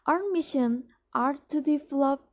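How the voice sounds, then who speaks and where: A woman's voice: high-pitched, normal speed. A young Indonesian woman with an Indonesian accent, whose first language is Indonesian, reading a promotional speech for a mock business ad with careful delivery and frequent restarts. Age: young adult. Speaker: student presenting a mock business ad. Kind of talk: read-aloud promotional speech.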